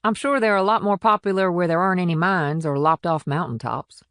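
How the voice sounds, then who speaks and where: A woman plays a small-town policewoman in a thriller audiobook. Her voice is low, frank and composed, with matter-of-fact delivery and a dry, close sound. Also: a little fast, fairly bright tone, no echo. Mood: frank, wry. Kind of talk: audiobook character dialogue.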